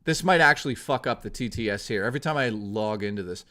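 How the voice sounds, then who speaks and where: Hoarse, excitable male streamer. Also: on the low side, quick pace. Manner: excitable, swearing, chatting with viewers. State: hoarse, losing his voice. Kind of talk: casual live-stream chat.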